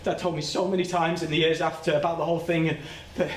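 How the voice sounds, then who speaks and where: An actor playing an anguished, guilt-ridden working-class man in a halting, plain-spoken theatrical monologue. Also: fairly high pitch, level tone, close to an everyday voice. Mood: guilty, bitter. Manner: halting, plain-spoken, repeats and stumbles over words. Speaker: stage actor. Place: indoor soundstage. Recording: roomy, noisy soundstage livestream.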